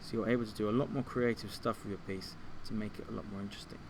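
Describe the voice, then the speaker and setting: A man giving an informal step-by-step software tutorial as a music tutor, thinking aloud a little hesitantly. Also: on the low side, steady tone, racing, noisy background.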